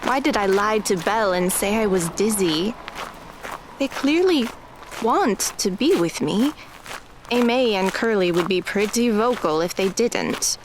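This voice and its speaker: A woman playing an anxious, self-doubting teenage girl in an audio drama, halting and nervous. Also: medium pitch, animated, noisy background, lightly acted. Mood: self-reproachful.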